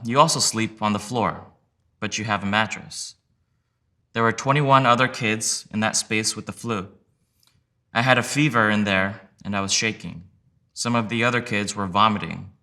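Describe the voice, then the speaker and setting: A man reading a sworn legal declaration aloud in a measured, plain, steady way. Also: on the low side. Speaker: reader of sworn testimony.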